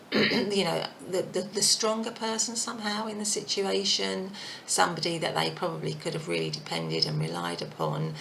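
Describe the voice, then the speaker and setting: Croaky low-voiced woman doing a tarot reading, lots of ums, bit of hiss in the background. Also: fairly bright tone, low-quality recording. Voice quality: husky and croaky. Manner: musing, thinking aloud with many fillers. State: croaky voice, clearing throat. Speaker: tarot reader. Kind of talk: casual tarot reading to camera.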